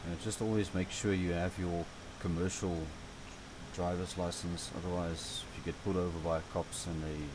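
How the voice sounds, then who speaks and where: A deep-voiced man with a South African accent talks through a driving game in a loose, chatty way, with fillers and the odd grumble. An air-conditioner hum runs steadily underneath. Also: normal speed. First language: Afrikaans. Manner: relaxed, rambling and chatty, with fillers and light grumbling. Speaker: gaming channel host. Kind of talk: casual gameplay tutorial commentary.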